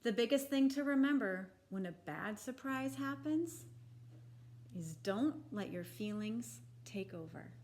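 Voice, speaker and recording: A woman reading a children's picture book aloud in a deliberate, expressive, instructive way for young listeners. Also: on the high side.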